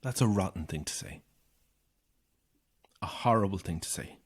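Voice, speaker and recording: A man's voice: sits low, normal speed, slightly rough. An actor playing an anxious, isolated young Irish man, edgy and sardonic, in a naturalistic audio horror drama. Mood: strained, weary.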